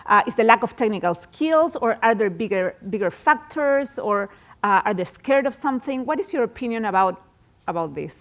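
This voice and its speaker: Higher-voiced woman moderating a panel. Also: animated, moderate pace. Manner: questioning, brief affirming replies.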